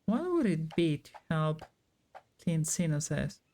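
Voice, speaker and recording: A woman's voice: sits low, very animated, slow, dark tone. A woman game streamer reading visual novel dialogue aloud and chatting about it as she plays. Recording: game music behind the voice. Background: game music.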